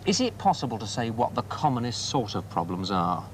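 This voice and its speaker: A man's voice: medium pitch, very animated, fairly quick, slight background noise. A British man presenting a training film, narrating scripted lines and putting articulate questions as an interviewer.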